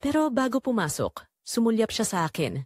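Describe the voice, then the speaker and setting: Lively Filipino woman narrating a story. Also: fairly high pitch, brisk, husky, dry recording, studio quality. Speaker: narrator of an audio drama. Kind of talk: scripted first-person story narration.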